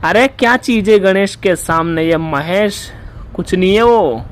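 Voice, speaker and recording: Actor as a slow-talking, swaggering Rajasthani lover. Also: male voice, high voice. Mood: boastful, indignant. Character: swaggering, scheming lover wooing a married woman. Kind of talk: mimicked phone-call comedy skit.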